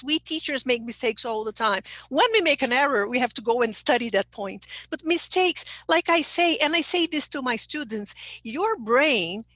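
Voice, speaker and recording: Woman, high voice, teacher trainer, explanatory, dry sound. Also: fairly bright tone, no room sound. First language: Portuguese. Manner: explanatory, checks in with okay. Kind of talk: online presentation.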